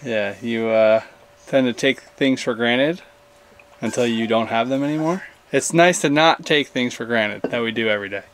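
A young man with a mid-pitched voice talks casually to camera as a travel vlogger. He sounds laid-back yet enthusiastic, using plenty of slang, with some ambient noise around him. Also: normal speed. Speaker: travel vlog host.

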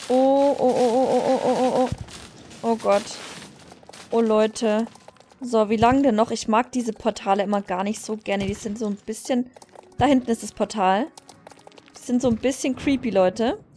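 A woman hosting a let's play, chatting and exclaiming excitably as she comments on the game. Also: a little high, moderate pace, a little hiss or hum. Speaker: gaming channel host.

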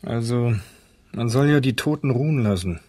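An actor playing a blunt, scornful ex-navy lighthouse keeper in a German crime radio play, disdainful in manner. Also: male voice, mid-range voice, normal speed, dark tone, lightly acted. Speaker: actor in an audio drama.

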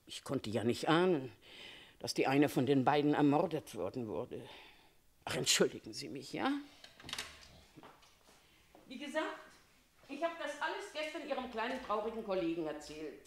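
A Paris concierge in a radio crime drama, a woman who loves to gossip. Her voice sits a little low but swings widely up and down as she confides her firm opinions. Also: big pitch swings, normal speed, long pauses, slight background noise. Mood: chatty, confiding. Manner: chatty, confiding, opinionated storytelling. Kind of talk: German radio crime play acting.

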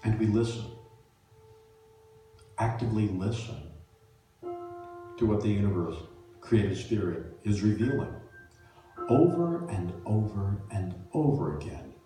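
Deep male voice, soothing meditation leader, measured phrasing, very reverberant room, distant mic. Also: animated, dark tone. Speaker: minister leading guided meditation. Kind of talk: guided meditation, spiritual talk. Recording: echoey room, distant mic, faint music.

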